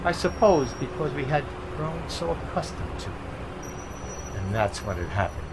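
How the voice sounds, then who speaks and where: A man playing a reflective radio drama narrator who looks back with regret in a measured, deliberate delivery. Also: on the high side, lively intonation. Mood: rueful, reflective. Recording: clearly noisy recording.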